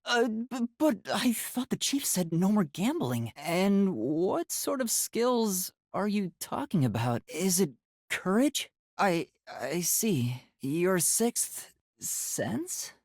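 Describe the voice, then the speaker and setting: A man voicing a timid, earnest teenage boy detective in a video game, with a soft youthful voice and a hesitant, polite, stammering way of talking. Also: high-pitched, big pitch swings, takes it slowly, no room sound, studio quality, full character voice. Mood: flustered. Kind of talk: English video game voice acting.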